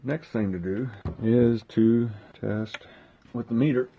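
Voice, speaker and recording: A man giving a casual hands-on demonstration, thinking aloud in an unhurried way as he handles the gear. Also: mid-range voice, creaky, mellow, a little hiss or hum. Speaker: host of a gear unboxing and testing video.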